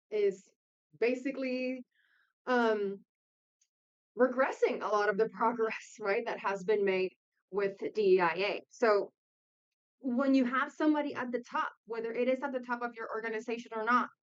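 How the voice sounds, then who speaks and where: A woman's voice: mid-range voice, clear, resonant tone, muffled recording. A woman from Puerto Rico giving an informal online lecture in a conversational way, often checking in with her listeners. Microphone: video call.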